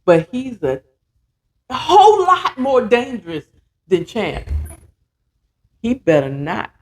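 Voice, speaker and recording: Podcast host telling a story real slow, mid pitch, chatty and dramatic, slangy, cusses, repeats stuff for emphasis. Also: huge pitch swings. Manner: conversational and dramatic, acting out dialogue, repeating words for emphasis; blunt, slangy and profane. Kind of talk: casual, animated retelling of a story chapter with commentary.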